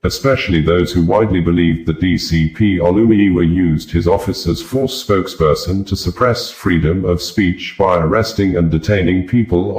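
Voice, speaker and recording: Man, very low pitch, formal news narration, echoey room. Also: darkish tone. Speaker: news reader, podcast host. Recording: roomy, echoing voice recording.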